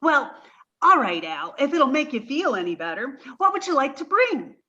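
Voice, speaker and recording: A woman plays a sweet scatterbrained young woman in an old radio comedy. Her high, lively voice is naive and earnest. The sound is slightly dull and lo-fi. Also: normal speed, exaggerated voice. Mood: sweet, eager. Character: sweet, dim, eager young woman, Irma. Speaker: amateur theatre performer. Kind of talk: 1940s radio sitcom acting.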